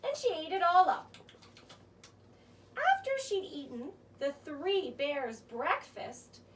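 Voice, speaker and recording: Casting: female children's storyteller, theatrical, very high voice with lively swings in pitch, slightly off-mic. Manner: expressive, theatrical. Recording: distant mic with faint noise.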